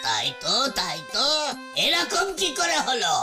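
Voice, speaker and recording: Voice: mid-range voice, huge pitch swings, measured pace. An actor voicing a flustered, panicky crow leader in a cartoon, pleading, repeating words and cawing between phrases. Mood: alarmed, puzzled. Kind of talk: cartoon voice acting. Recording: children's cartoon music behind.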